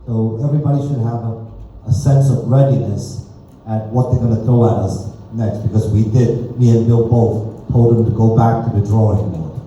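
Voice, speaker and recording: Male town hall speaker, very mellow dark tone, explanatory, recorded far off in a very echoey room. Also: lowish voice, fairly even intonation, moderate pace. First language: Cantonese, speaks it fluently. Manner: explanatory, checks in with listeners using tag questions. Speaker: community meeting speaker. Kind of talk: informal public address at a town hall. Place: indoor public meeting room. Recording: echoey room, distant muffled mic, faint noise.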